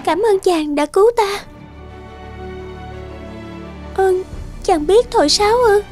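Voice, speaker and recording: A woman voicing a gentle, lovestruck young noblewoman in a fairy-tale cartoon. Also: very high-pitched, normal speed, faint music behind, voice pushed up. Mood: grateful, tender.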